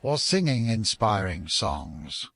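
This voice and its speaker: Slow gravelly cloaked fantasy seeker. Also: male voice, on the low side, big pitch swings, a bit bright, no room sound, full character voice. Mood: solemn, mysterious. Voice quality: gravelly, husky. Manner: slow, solemn, portentous. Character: cloaked mystical seeker, a grave old-sounding male stranger. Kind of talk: fantasy audio game acting.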